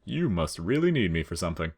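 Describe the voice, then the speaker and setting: A man's voice: low voice, big pitch swings, fast, a little mellow, heavily acted. Casting: male narrator putting on a lazy skeleton joker for game fan fiction, slangy, dry, teasing, easygoing. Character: laid-back, wisecracking lazy male skeleton, grinning slacker.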